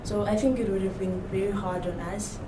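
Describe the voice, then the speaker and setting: A woman's voice: mid-range voice, fairly even intonation, a little fast. Young woman, hesitant speaker, recorded from a distance in an echoey room. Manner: hesitant, many fillers. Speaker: class participant. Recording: echoey room from afar, noisy.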